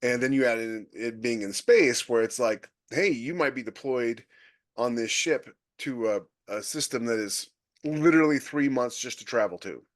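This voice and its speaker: A man's voice: mid-range voice, moderate pace, no echo, studio quality. A man co-hosting a podcast panel, chatting casually about writing, rambling with lots of restarts and fillers. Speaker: podcast co-host, author.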